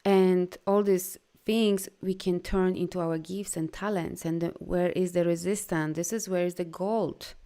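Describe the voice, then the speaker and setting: A woman's voice: lowish voice, fairly even intonation, mellow. Earnest woman with a Polish accent. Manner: earnest, heartfelt, halting non-native phrasing. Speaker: life coach. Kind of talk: live video talk.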